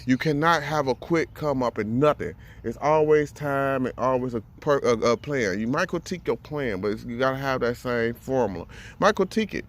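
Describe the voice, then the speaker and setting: A man in his thirties talking bluntly and profanely in a casual monologue, often repeating words as he gathers his thoughts. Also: mid-range voice. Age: 30s. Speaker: vlogger.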